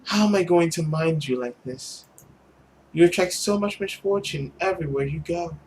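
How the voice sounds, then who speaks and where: A man's voice: fairly high pitch, moderate pace, a little mellow, a little hiss or hum, lightly acted, low-quality recording. Visual novel mother, voiced by a man: controlling, smothering, stern, condescending. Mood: reproachful. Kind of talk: visual novel read-aloud character voice.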